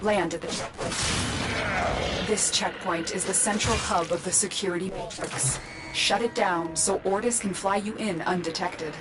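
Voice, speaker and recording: A woman's voice: unhurried, close to an everyday voice. A woman playing a composed, commanding guide who directs an operative through a video game mission. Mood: urgent, commanding. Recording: game music and engine rumble behind.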